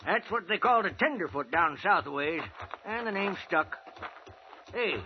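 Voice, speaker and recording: An actor playing a folksy, stubbornly hopeful old prospector in a 1950s radio western, with an American rustic drawl. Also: male voice, lively intonation, normal speed. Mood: folksy, easygoing. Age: older. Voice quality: creaky, high-pitched. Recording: dull, noisy old radio recording.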